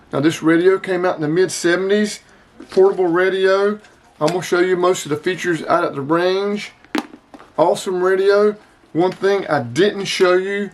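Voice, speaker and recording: A man giving a casual, plain-spoken product review as a channel host. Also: sits high.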